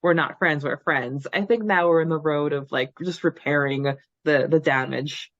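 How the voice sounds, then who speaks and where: A woman co-hosting a podcast in casual fan chat, animated and halting as she thinks aloud, on a slightly lo-fi recording. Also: low-pitched, no room sound. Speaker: podcast co-host.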